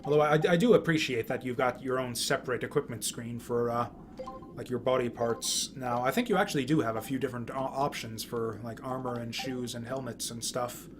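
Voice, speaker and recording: A man giving casual, rambling video game commentary, often halting and repeating words as he goes. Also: medium pitch. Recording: clear background noise.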